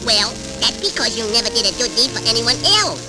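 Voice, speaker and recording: A man's voice: very high voice, steady tone, quick pace, poor audio. A man voicing a tiny, innocent baby cartoon canary, sweet and persuasive with babyish speech, on a vintage children's record. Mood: pleading, earnest. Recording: vintage 78rpm record with music.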